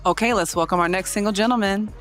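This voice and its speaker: A man hosting a dating show, giving upbeat, brisk prompts to contestants. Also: high voice, fairly bright tone, faint music behind.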